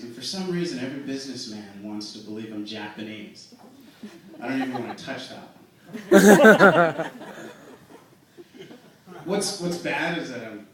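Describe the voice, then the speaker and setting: Slow, dry young man on a stand-up stage. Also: fairly high pitch. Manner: slow, dry, self-mocking storytelling. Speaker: stand-up comedian. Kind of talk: stand-up routine. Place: comedy club stage. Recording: echoey club room miked from a distance.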